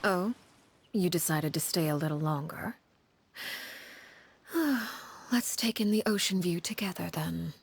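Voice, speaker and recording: Formal noblewoman, calm, courteous, lowish voice. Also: takes it slowly, gravelly, long pauses, a little hiss or hum. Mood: gentle. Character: poised, cool, commanding noblewoman host. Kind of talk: video game voice acting. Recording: game audio over soft music.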